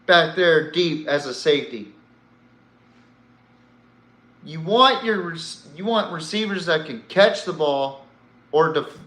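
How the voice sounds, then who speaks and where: Slow-talking man with a bright, echoey sound. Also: a little high. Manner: blunt, swearing, rhetorical questions. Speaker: sports podcast host. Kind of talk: casual opinionated sports commentary.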